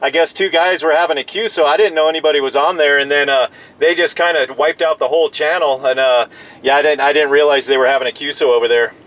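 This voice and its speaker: Higher-voiced American man chatting on radio. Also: fairly even intonation, fast talker, a bit bright, a little hiss or hum, low-quality recording. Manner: friendly, complimentary, uses radio jargon. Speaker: radio operator. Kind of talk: casual CB radio chat. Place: in a parked vehicle outdoors. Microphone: CB radio microphone.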